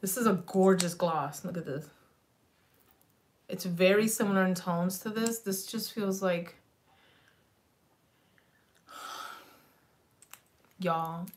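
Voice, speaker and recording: A woman in her thirties chatting loosely on a beauty livestream, swearing freely, dropping in Spanish words, her slightly raspy voice going in and out. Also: on the low side. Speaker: beauty vlogger. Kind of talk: rambling casual livestream chat. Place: small home beauty room, indoors.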